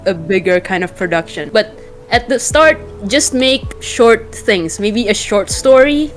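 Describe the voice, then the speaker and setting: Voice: mid-range voice, animated, a little slow. A creator being interviewed in casual chat, thinking aloud in a giggly, hesitant way and giving advice. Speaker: webtoon creator, interview guest.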